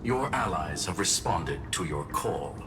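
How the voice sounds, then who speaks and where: An actor playing a composed, courteous male machine sovereign in a video game, speaking in a measured, ceremonious way. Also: low-pitched, lively intonation, recorded from a distance, loud music behind the voice. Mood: calm authority. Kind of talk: scripted video game acting.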